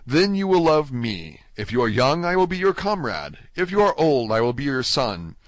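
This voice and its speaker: A man's voice: medium pitch, very animated, normal speed, no echo, voice pushed up, lightly acted. A man reading an audiobook, voicing a desperate young male prisoner who pleads fervently. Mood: pleading. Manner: fervent, imploring.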